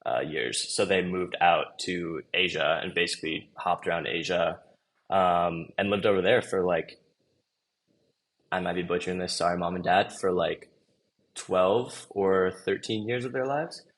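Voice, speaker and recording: A young man from Los Angeles, guesting on a podcast, talks in a loose, chatty, easygoing way. His voice sits very low with a gravelly, fry-laden edge. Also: a little slow, a bit bright. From Los Angeles, USA. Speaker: podcast guest, marketing manager. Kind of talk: casual interview chat.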